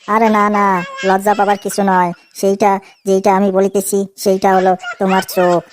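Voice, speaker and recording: A quick-talking young Bengali boyfriend from a comedy cartoon, played by a woman, with a middling pitch. He placates in a cheeky, sly way. There is faint noise in the recording. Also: flat delivery, darkish tone, close to an everyday voice.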